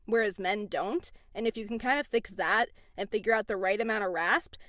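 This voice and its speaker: A woman, a voice actress answering interview questions in an animated, chatty way. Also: mid-range voice, fairly quick, no echo, studio quality. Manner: chatty, animated, laughs between phrases. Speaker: voice actress guest.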